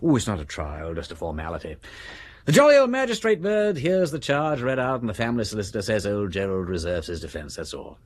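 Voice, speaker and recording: An actor playing an affable, inquisitive gentleman detective in a radio drama, with an upper-class English accent and jaunty, old-fashioned slang. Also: fairly low pitch, big pitch swings, brisk. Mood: jolly, reassuring. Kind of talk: British radio drama acting.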